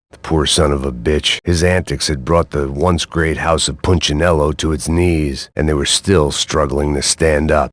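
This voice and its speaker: An actor voicing a jaded, self-loathing middle-aged antihero in weary, sardonic, deadpan video game noir narration, with a gravelly voice. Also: male voice, extremely low pitch, no echo. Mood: regretful, bitter. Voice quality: gravelly, husky.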